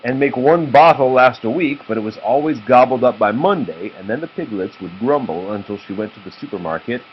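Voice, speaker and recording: Male storyteller, dad at home reading to kids; patient, explanatory, animated intonation. Muffled amateur sound, light noise. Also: medium pitch, fairly quick. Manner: patient, stops to explain words and answer questions. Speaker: father reading a picture book to his children. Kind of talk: read-aloud storytelling with asides to children. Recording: dull home recording with faint noise.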